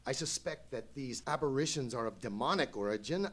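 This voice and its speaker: A man playing an anxious, courteous English lord in a live radio play, with a husky voice and formal, florid speech. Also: medium pitch, expressive, brisk, fairly bright tone. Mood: apologetic. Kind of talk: live old-time radio play acting.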